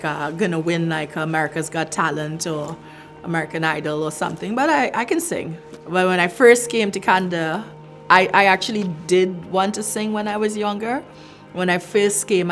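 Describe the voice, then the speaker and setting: A middle-aged woman from Trinidad and Tobago, a filmmaker reflecting on her work in a thoughtful interview with many fillers and restarts. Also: lowish voice. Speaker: filmmaker, arts director.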